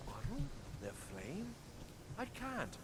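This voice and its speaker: A wary mage, wildly swooping. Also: male voice, on the high side, huge pitch swings, slow, noisy background, heavily acted. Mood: wary, dismissive. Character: wary, disapproving male mage of the college. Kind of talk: fantasy video game acting.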